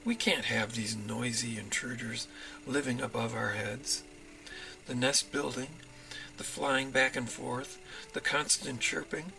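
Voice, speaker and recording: Man reading as a grumpy chipmunk husband, bright-voiced. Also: mid-range voice, unhurried, vocal fry, faint music behind, low-quality recording. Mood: indignant. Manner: indignant, fussy complaining. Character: grumpy male chipmunk husband, indignant about noisy neighbors.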